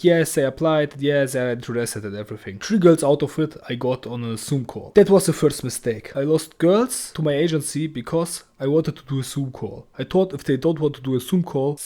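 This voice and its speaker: A man's voice: medium pitch, warm tone. A man talking bluntly in non-native English, often restarting his sentences and swearing casually. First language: not English; English is his third language. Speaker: agency owner giving advice. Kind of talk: casual talking-head monologue.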